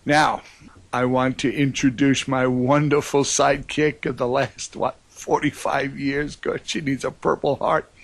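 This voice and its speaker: Folksy older radio host, dry sound. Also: male voice, medium pitch, little pitch movement, unhurried, gravelly, no echo. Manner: folksy, upbeat, joking.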